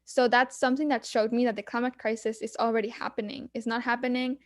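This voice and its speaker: A young woman speaks in an earnest, measured way, pausing often with little fillers. Her voice sits somewhat high. The sound is dry and close, with no room around it. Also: little pitch movement, no echo. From Mexico. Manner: earnest, measured, frequent ums. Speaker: guest climate activist. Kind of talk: panel discussion answers.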